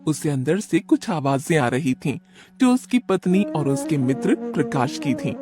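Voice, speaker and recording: High-pitched, lively male story narrator. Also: unhurried, music in the background. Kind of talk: scripted storytelling narration for animated moral tales.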